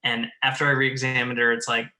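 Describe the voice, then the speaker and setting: A man's voice: medium pitch, little pitch movement, a little fast, bright, forceful tone. A man chatting casually and earnestly in a panel looking back on a project, as its director and writer. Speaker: director, writer and producer of an audio drama. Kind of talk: casual retrospective panel chat.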